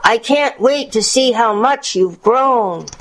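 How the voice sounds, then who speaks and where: A woman's voice: on the high side, fairly bright tone, voice pushed up. A cunning, hungry storybook wolf, acted out by a woman pretends to be a sweet old granny. The voice is lifted and coaxing, stretching its words with false sweetness. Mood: sly, coaxing. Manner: coaxing, drawn-out, feigned sweetness. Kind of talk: children's storybook character voice.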